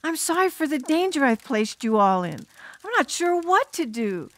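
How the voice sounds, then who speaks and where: Woman as grave teenage drum major, slightly high. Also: sing-song, moderate pace, a bit bright. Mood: fearful, resigned. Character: fearful, apologetic teenage student drum major. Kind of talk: audiobook character acting.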